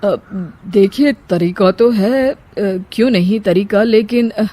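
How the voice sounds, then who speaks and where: A woman voicing a hesitant, grave male doctor who speaks in a halting, measured way in a moral story. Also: mid-range voice, mellow, no room sound. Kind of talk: Hindi moral story dramatization.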